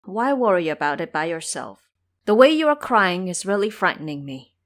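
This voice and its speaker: A woman's voice: lowish voice, sing-song, normal speed. A woman voicing a timid, fretful elder monk master in an audio drama, anxious and chiding. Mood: worried.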